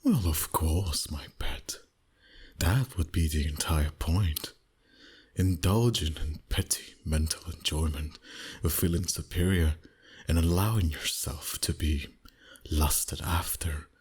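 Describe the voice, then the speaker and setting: Male actor as suave vampire boss, extremely low voice, husky and breathy, slow, teasing, dominant, intimate ASMR roleplay. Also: a little mellow, heavily acted. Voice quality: breathy, husky, often near a whisper.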